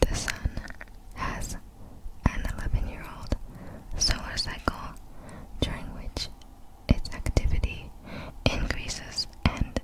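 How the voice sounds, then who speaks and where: A woman whispering softly in an ASMR style, reading out facts in short, measured, breathy phrases. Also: poor audio. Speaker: ASMR video creator.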